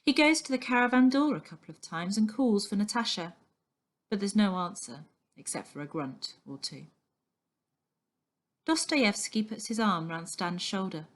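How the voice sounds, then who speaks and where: A woman's voice: medium pitch, expressive, normal speed. A woman reading a children's novel aloud as scripted narration. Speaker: story reader.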